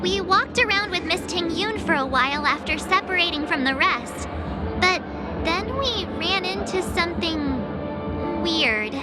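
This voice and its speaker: Very high, girlish game heroine. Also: unhurried, loud music behind the voice. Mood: flustered. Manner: chatty, expressive, easily exasperated. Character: perky, girlish, flustered young woman adventurer. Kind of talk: scripted video game voice acting.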